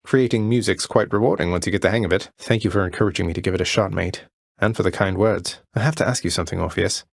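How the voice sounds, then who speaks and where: A man playing a young underworld prince in a video game, friendly, courteous and gently wry. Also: sits low, fast talker, creaky, no room sound, clean studio sound. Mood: warm, encouraging.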